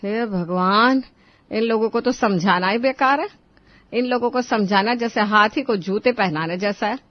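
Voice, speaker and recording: A woman voicing an exasperated, scolding mother-in-law in an animated family story. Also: mid-range voice, moderate pace, dry recording, dull audio, natural voice. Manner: scolding, emphatic. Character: exasperated mother-in-law scolding her lazy grown sons.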